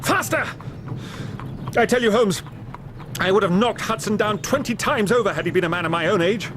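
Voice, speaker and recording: An actor playing a distraught young English gentleman with an upper-class British accent, speaking in an agitated, well-bred way in a radio drama. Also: sits high, normal speed, noisy background, close to an everyday voice. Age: young adult. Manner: agitated, hesitant, well-spoken.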